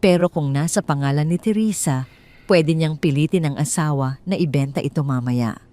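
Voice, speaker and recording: Low-voiced woman narrating a drama. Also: moderate pace, dark tone. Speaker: storyteller.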